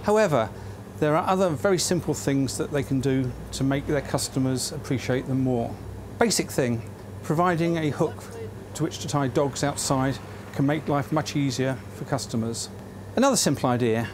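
A man giving an explanatory talk as an adviser. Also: a little high. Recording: clear outdoor ambient noise.

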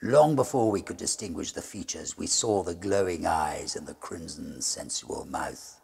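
A middle-aged man with a husky, gravelly voice narrating a gothic ghost story in a measured, suspenseful, theatrical way. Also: sits low, lively intonation, a little mellow.

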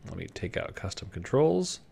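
A man's voice: deep voice, sing-song, normal speed, creaky, mellow, husky. A man presenting an informal screen-share coding tutorial in a conversational way, thinking aloud as he demonstrates. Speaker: presenter of a web development tutorial, designer.

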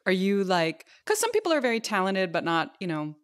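A woman's voice: mid-range voice, wildly swooping pitch, brisk. A woman hosting a podcast, asking questions in a casual, wry way.

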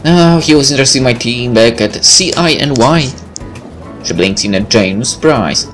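A man hosts a let's play with a lively, swinging pitch. He reads the story aloud and drops in informal, joking asides and swearing. Faint music plays behind him. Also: normal speed. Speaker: gameplay host reading a visual novel aloud. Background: game music.

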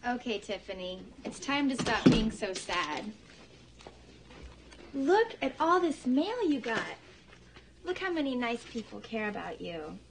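A woman's voice: a little high, big pitch swings, measured pace, long pauses, background noise. A young woman playing an upbeat friend who coaxes a sad girl to open her mail, in a bedroom in a film comedy. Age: young adult. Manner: coaxing, upbeat. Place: indoors, girls' bedroom.

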